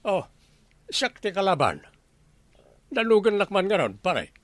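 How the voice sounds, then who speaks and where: Comic radio village captain, Ilocano accent, high voice with big swings, challenging and exasperated banter. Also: male voice, very animated, a little slow, no room sound. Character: male village captain testing recruits for watchman, bantering, exasperated. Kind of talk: Ilocano radio comedy drama acting.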